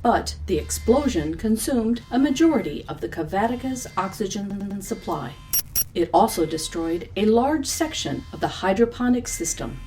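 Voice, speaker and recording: A woman's voice: medium pitch, lively intonation, moderate pace, warm tone, lightly acted, low-quality recording. Woman as female spaceship AI, clipped, formal, unruffled, sci-fi audio drama, music underneath. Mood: clipped, matter-of-fact. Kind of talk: scripted audio drama acting.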